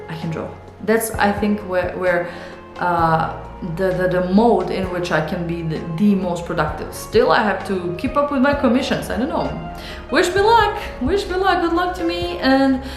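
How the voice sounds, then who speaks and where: A young woman with a Serbian accent, a Serbian native speaker, rambling and thinking out loud in a casual studio vlog, with many fillers and restarts. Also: mid-range voice, echoey room. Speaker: illustrator and author. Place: home art studio.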